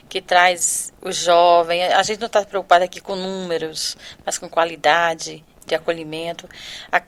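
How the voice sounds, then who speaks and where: A woman's voice: on the low side, fairly bright tone. A woman co-hosting a podcast in a conversational style. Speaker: podcast co-host.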